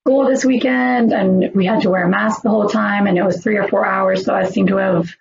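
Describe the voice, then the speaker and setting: A hoarse woman, introducing herself casually as an engineering supervisor, with many pauses and ums. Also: medium pitch, fairly even intonation, muffled recording. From New Orleans, United States. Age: 40s. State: hoarse, losing her voice. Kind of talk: recruiting presentation self-introduction.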